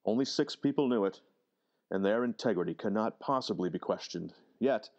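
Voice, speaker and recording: Male actor, senior government official, deep pitch, solemn, deliberate, formal, radio play, slightly dull recording. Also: expressive, darkish tone. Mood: grave, formal. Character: distinguished, grave senior government official in Washington. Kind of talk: old-time radio drama acting.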